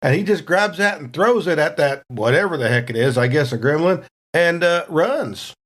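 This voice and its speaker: A man's voice: fairly high pitch, expressive, moderate pace. Folksy man playing a tabletop game. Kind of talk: casual in-game narration of his character's actions.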